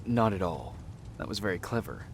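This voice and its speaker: A man's voice: low voice, very animated, moderate pace. Terse male soldier, faint noise. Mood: reserved, kind. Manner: brief, reserved replies. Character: terse, earnest soldier, a male resistance private. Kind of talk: video game dialogue acting.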